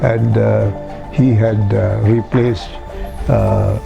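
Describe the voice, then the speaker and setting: A man's voice: fairly low pitch, little pitch movement, extremely slow, creaky, muffled recording, over music. An older man from Sri Lanka, whose home language was Tamil, recalling his career in a life-story interview with many hesitations. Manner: reminiscing with many hesitations and "you see".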